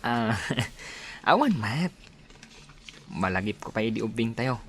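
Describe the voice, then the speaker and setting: Teasing young Filipino man, mid-pitched, acted. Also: sing-song, takes it slowly, fairly bright tone, slightly rough, full character voice. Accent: Filipino, Ilocano. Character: teasing young village man, friend since childhood. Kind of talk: Ilocano comedy radio drama acting.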